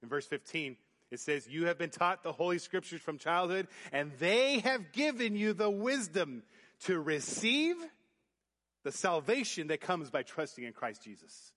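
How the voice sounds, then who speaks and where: A youth pastor preaching a sermon in church, booming then dropping to quiet emphasis. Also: male voice, big pitch swings, unhurried. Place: church sanctuary. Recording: long hall reverb. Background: hall reverb.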